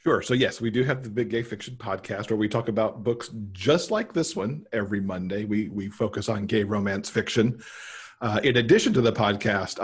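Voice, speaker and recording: Slightly husky middle-aged American man. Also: on the low side. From Michigan, United States. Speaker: guest author and podcaster. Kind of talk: casual interview chat. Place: indoor room, video call.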